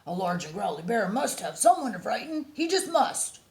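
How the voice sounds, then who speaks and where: A woman's voice: medium pitch, big pitch swings, normal speed, creaky. A woman voicing a large, growly male bear in a picture book she reads aloud, exclaiming his lines with gusto. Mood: scheming.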